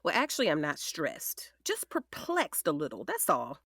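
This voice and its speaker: A woman playing a sharp, determined defense attorney in an audio drama, sarcastic yet caring, with pointed theatrical delivery. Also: sits low, huge pitch swings, moderate pace, creaky, slightly rough, dry recording, studio quality, exaggerated voice. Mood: pensive.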